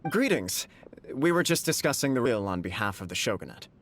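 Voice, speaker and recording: A man's voice: mid-range voice, sing-song, a little fast, a bit bright, a little hiss or hum. A man playing a courteous, dignified feudal official in a video game, speaking formally and politely. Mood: courteous, grateful.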